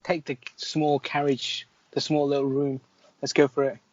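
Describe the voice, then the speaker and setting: A man's voice: medium pitch, little pitch movement, normal speed, lo-fi sound. A man playing a game as co-host, chatting casually with wry, jokey remarks. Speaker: player and co-host. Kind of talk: casual banter during a game.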